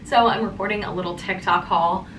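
A woman's voice: medium pitch, steady tone, fairly quick. An American woman in her twenties chatting casually as a vlogger. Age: 20s. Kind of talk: casual vlog chat. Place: indoors at home, rooms and kitchen. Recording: roomy home echo, some background noise.

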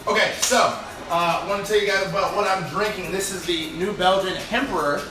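A man's voice: high-pitched, fairly even intonation, brisk, music in the background. Young man, laid-back home cook host, casual rambling chat, jokey, distant from the mic, strongly reverberant room. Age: young adult. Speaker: cooking show host, home chef. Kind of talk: casual chat while cooking. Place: home apartment kitchen. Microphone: phone on counter, a few steps away. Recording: roomy, echoey phone recording from far off.

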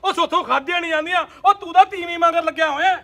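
A man's voice: extremely high pitch, very fast, a loud crowd. A man playing a grumbling, defiant elder brother. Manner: grumbling, boastful threats. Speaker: actor in a family quarrel skit.

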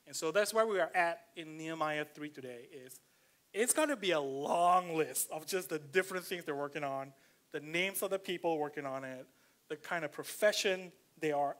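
A pastor with a Malaysian accent delivers a relaxed, conversational sermon in a church, reading scripture aloud. His voice sits somewhat high for a man. He talks with plenty of fillers and slows down when he wants a point to land.

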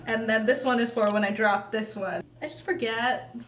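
A woman's voice: on the high side, moderate pace. A woman in her twenties playing a tabletop roleplaying game, chatting casually and playfully in character. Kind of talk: casual in-character improvised chat. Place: indoor studio set at a game table. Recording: roomy echo with background noise.

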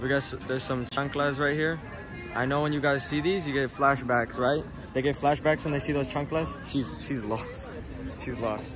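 A man's voice: mid-range voice, steady tone, normal speed, over music. A young man casually chatting and teasing while filming at an outdoor flea market. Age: young adult. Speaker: companion filming. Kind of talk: casual chat.

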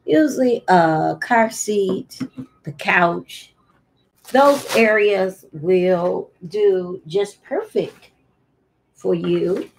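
Slow-talking woman teaching parents baby rhymes. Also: lowish voice, gravelly, a little mellow, a little hiss or hum, rough recording. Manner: slow, deliberate, with singsong baby-talk chanting. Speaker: early childhood teacher, host of infant read-along. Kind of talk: instructional demonstration for parents of babies. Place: room at home.

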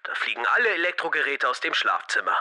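A man's voice: medium pitch, big pitch swings, fast, sharp tone. A young man playing an anxious teenage schoolboy hacker in a German audio drama, talking in casual youth slang. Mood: tense, insistent. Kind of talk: naturalistic audio drama phone dialogue.

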